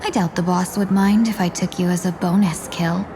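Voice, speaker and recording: A woman playing a cool, delicate-looking merchant who poses as a deadly assassin in a video game, speaking in a composed, measured way with veiled threats. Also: fairly low pitch, steady tone, background noise, close to an everyday voice. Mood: calm threat. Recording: loud background music.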